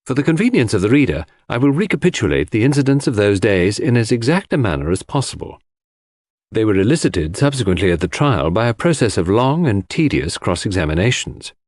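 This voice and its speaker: A man's voice: fairly low pitch, very animated, fairly quick, a little mellow, no echo. A man reading scripted first-person literary narration as an audiobook narrator.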